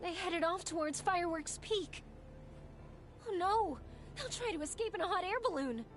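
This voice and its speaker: Very high-voiced distressed inventor. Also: female voice, expressive, normal speed, a bit bright, faint music behind. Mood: worried. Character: distressed female fireworks maker robbed by bandits. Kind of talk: video game cutscene acting.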